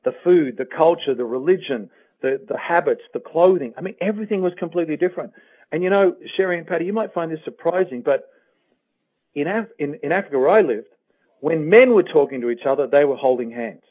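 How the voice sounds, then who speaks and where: An Australian man talks as a guest life coach, his voice pitched a little high. He is earnest and emphatic, building points through repetition. The sound is narrow and tinny, like a phone call to a radio show. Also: animated, a little fast. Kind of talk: motivational interview talk with personal anecdotes.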